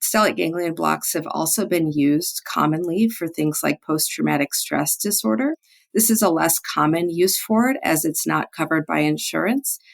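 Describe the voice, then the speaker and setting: Female doctor as interview guest, creaky voice, explanatory delivery, dry close recording. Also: low-pitched, no room sound. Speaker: pain management physician, interview guest.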